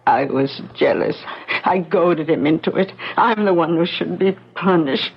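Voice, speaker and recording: An actress playing an injured, jealous wife full of guilt, speaking weakly and haltingly in a 1970s radio drama. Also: female voice, fairly low pitch, gravelly, breathy edge, heavily acted. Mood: weak, remorseful. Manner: halting, remorseful. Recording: dull 1970s radio recording.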